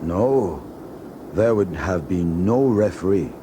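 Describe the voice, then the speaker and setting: A man's voice: sits low, expressive, slow pace, noisy background. A man playing an older, stoic Okinawan karate master in a read-along story, speaking terse broken English with a Japanese accent. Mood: solemn, wise.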